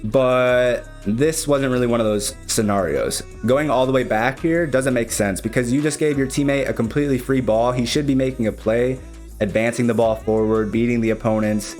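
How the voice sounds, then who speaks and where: A man's voice: mid-range voice, normal speed, a little mellow, soft background music. A young man with a Chicago accent, casually explaining game replays as a streamer and coach, speaking directly to the player. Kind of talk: live-streamed replay analysis commentary.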